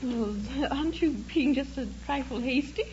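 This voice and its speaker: A young woman answers as a contestant on a vintage radio quiz. Her voice is high, and the recording is dull with clear noise. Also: normal speed. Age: young adult. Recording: muffled noisy 1950s radio recording.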